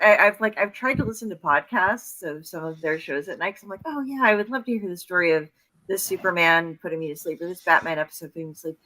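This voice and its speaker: A woman's voice: medium pitch, a bit bright, poor audio. A woman hosting a casual video-call chat about storytelling, rambling with fillers and restarts. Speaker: host.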